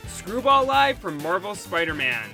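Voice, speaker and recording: High, bright-voiced man reviewing cartoons. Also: very animated, measured pace, faint music behind. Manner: opinionated, critical. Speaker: host of a cartoon review show. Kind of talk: scripted review narration.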